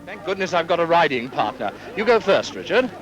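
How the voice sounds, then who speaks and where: A man's voice: on the high side, very animated, fairly quick, over music, poor audio. A British man chats unscripted into a microphone outdoors at a live event, in an old 1940s archive recording. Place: outdoors at a garden party. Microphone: handheld microphone. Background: loud crowd.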